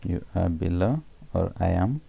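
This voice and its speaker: Gravelly deep-voiced man teaching code. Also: animated, moderate pace, mellow. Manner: explains step by step with many fillers and self-corrections. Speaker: programming tutor. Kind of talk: screencast coding tutorial.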